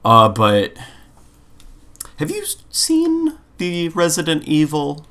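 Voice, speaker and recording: A man co-hosting a podcast, chatting casually in an informal, animated way full of filler words. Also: fairly high pitch, slow pace. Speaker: podcast co-host. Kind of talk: casual chat.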